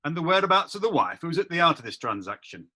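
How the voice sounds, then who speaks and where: A man's voice: fairly high pitch, big pitch swings, fast talker, sharp tone, exaggerated voice. A man playing a curt, authoritative medieval judge presiding over a court. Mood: commanding. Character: brisk, commanding medieval judge. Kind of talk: amateur radio drama.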